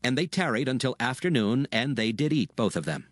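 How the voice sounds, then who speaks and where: A man's voice: medium pitch, animated, fairly quick, no room sound, studio quality. A man narrating dramatized scripture in a solemn storytelling manner, reading archaic English from a script.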